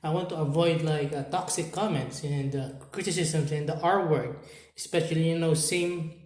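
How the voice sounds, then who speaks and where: A man from the Philippines with a Filipino accent, talking unscripted to camera as a YouTuber, halting and repeating words with many fillers. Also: on the high side, fairly even intonation, a little mellow, echoey room.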